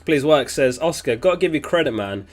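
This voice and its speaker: A man hosting a casual livestream, reading viewer messages aloud in a relaxed, chatty way. He is sniffly and keeps clearing his throat. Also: a little high, brisk, soft background music. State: sniffly, getting over an illness. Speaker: fantasy football livestream host.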